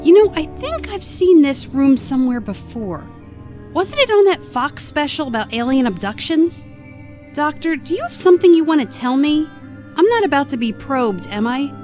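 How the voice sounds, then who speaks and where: Woman acting plucky, high-voiced, wisecracking young companion. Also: big pitch swings, moderate pace, a little mellow, faint music behind. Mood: wry, joking. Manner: wry, playful, quippy. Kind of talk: scripted audio drama acting.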